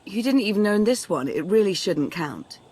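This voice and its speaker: Composed, dry sci-fi operative. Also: female voice, mid-range voice, very animated, normal speed, a little mellow, breathy edge. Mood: dryly sardonic. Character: composed, dry, pragmatic female companion agent. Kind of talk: sci-fi game voice acting.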